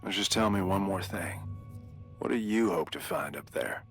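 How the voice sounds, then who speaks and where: An actor playing a gruff, protective veteran security guard in a video game, with a wry, blunt, folksy manner. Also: male voice, low-pitched, moderate pace, a bit bright, faint music behind. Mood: patient, listening.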